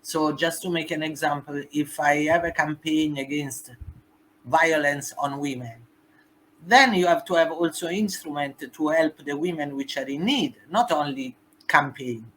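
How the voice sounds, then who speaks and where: A woman with an Italian accent talks as a podcast guest, her voice bright and pushing forward with force. Her English carries a native Italian speaker's phrasing, and she lands her points with emphasis. Faint noise sits under the voice. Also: sits low, unhurried, rough recording. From Italy. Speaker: guest, human rights activist. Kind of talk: podcast interview.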